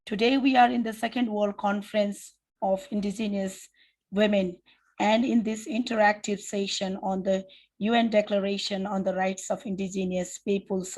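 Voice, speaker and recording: A woman with a Nepali accent moderates an online conference session in English. Her voice carries a gravelly edge at a middle pitch, and she speaks in a formal, measured way, reading prepared remarks with the odd restart. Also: a little mellow, low-quality recording.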